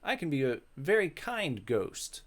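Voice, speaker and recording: A man's voice: on the high side, very animated, a little slow. A man voicing a mischievous, vain, lecherous male ghost in a theatrical, exclamatory way for a visual novel. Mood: defensive, boastful.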